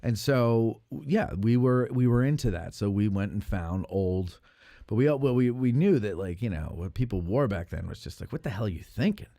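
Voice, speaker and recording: Animated, low-voiced man chatting casually. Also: moderate pace, dry recording, studio quality. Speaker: podcast host. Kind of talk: casual chat.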